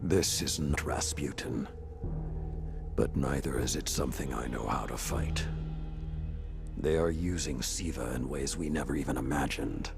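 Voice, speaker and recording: Very deep gravelly warrior lord, loud music. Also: male voice, full character voice. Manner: solemn, deliberate storytelling. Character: grave, world-weary old warrior lord, last of his order. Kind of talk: dramatic video game acting.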